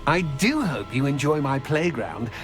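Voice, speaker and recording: A man's voice: medium pitch, sing-song, unhurried. A man voicing a gleeful, menacing trickster spirit in a video game, theatrical, mock-sweet and taunting. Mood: mocking, playful. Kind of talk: video game villain acting. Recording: loud music behind the voice. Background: loud game music.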